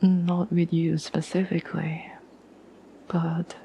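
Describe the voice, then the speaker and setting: A woman playing a caring, patient girlfriend in an ASMR roleplay, speaking in a soft, breathy whisper with a gentle, reassuring manner. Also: on the low side, flat delivery, muffled recording, slight background noise. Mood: tender, reassuring. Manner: gentle, slow, soothing, reassuring.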